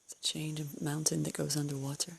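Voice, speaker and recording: A woman leading a guided meditation, giving calm, unscripted instructions in a soothing, breathy way with gentle pauses. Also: low voice. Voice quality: breathy, husky, creaky.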